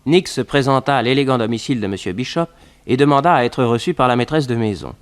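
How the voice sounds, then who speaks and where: A man's voice: medium pitch, fast talker, clear, resonant tone. A man giving scripted narration for a 1960s French radio detective serial.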